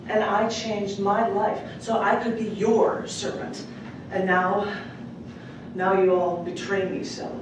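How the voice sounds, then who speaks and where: A woman poet reading her work aloud at a live open mic, with deliberate, dramatic phrasing and casual asides. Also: on the low side. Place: public library room at a live event. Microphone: distant microphone. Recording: echoey room from far off, clearly noisy.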